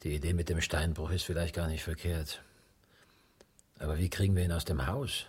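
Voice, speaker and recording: An actor playing a weary, anxious, arrogant man drawn in as a reluctant accomplice, in naturalistic radio drama dialogue with a husky, rough voice. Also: deep voice, steady tone, normal speed, darkish tone, slight background noise, natural voice.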